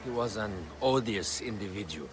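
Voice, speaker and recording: A man playing a refined, cautious middle-aged political advisor to a queen in a video game, speaking in a formal, measured, courtly manner. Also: fairly low pitch, lively intonation, lightly acted. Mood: measured, approving. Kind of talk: video game cutscene acting. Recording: music behind the voice.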